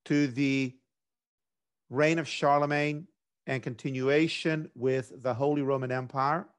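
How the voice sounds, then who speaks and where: A middle-aged man from Greece, a government instructor, giving a measured academic lecture over a video call. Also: mid-range voice, steady tone.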